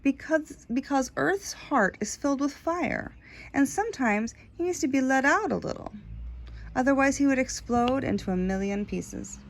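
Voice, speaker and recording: A woman reading the part of a wise, consoling farmer father in a children's storybook, explaining things gently. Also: high voice, lively intonation, normal speed, voice pushed up. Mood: consoling, tender. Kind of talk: read-aloud children's storybook dialogue.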